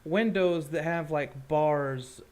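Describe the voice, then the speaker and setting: A man running a tabletop roleplaying game, describing scenes informally and a little haltingly. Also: fairly high pitch, darkish tone. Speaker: game master. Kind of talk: improvised tabletop game narration.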